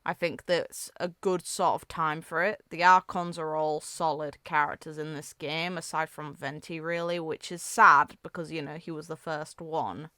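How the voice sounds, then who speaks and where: Clear, lowish-voiced woman giving casual reactions. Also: flat delivery, normal speed, few pauses, pushed and bright, dry recording, studio quality. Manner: musing, hedging, conversational. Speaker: video game reaction creator.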